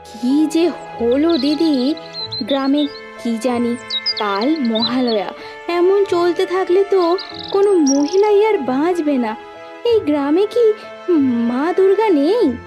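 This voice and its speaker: A woman's voice: high voice, expressive, slow, music in the background. A woman voicing a worried, despairing village woman in a children's cartoon.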